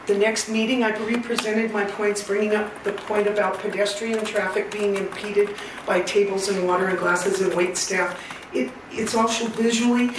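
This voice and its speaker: A woman with a loud voice giving a public comment at a meeting, earnest, detailed and persistent. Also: mid-range voice. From Northern California. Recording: echoey hall, far from mic, noisy.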